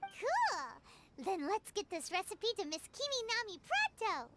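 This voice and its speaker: A tiny, childlike fairy sidekick from an anime game, bubbly, loyal and full of exclamations. The voice is extremely high and swoops all over. It sits in a very noisy stream capture. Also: female voice, very animated, unhurried, exaggerated voice. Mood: excited, cheerful. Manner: exclamatory, talks of self in third person.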